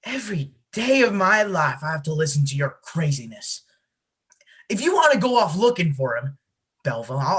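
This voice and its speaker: Woman playing a husky, beleaguered small-town official. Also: on the low side. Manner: folksy, exasperated, officious. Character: beleaguered small-town man running a solemn lottery, defensive traditionalist. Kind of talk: amateur stage-play acting over video call. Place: home, on a video call. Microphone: video call microphone.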